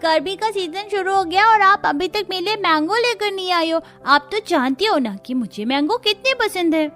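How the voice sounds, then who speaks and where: A woman's voice: very high voice, animated, moderate pace, fairly bright tone, soft background music. A woman voicing a whiny little girl. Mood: pleading. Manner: pleading, insistent. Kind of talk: cartoon dubbing.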